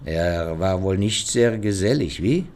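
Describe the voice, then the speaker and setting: An actor playing a shrewd, courteous detective in a radio crime drama, with formal, probing speech full of ponderous pauses and murmurs. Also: male voice, low voice, expressive, a little mellow. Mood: probing, polite. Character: shrewd, courteous male detective questioning witnesses.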